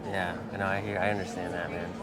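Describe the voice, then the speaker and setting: Quick-talking young American male interviewer. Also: low-pitched, little pitch movement. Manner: informal, chummy, says man and like often. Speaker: interviewer, channel host. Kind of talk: casual chat. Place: large bright indoor lounge with tall windows. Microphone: clip-on lapel mic. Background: loud music in the background.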